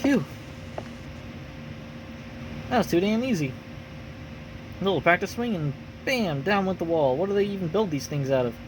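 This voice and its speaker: A man's voice: sits high, expressive, normal speed, pauses often, noisy background. Man voicing a brash, cocky young swordswoman. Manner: rough, slangy, boastful.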